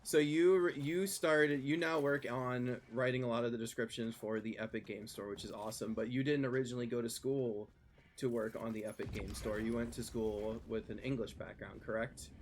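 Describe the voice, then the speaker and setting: A man's voice: mid-range voice, fairly even intonation, fairly quick, a little hiss or hum. Flustered male host mid-game. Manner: flustered, rambling. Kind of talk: casual chat while gaming.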